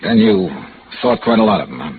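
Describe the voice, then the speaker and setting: An American actor playing a cool, wry insurance investigator in 1950s radio noir, clipped and sardonic. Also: male voice, sits low, expressive, brisk, a little hiss or hum. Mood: wry, pressing. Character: hard-boiled male insurance investigator, probing, cool, wry. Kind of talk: 1950s radio noir acting, with first-person narration. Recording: dull vintage radio recording, music behind.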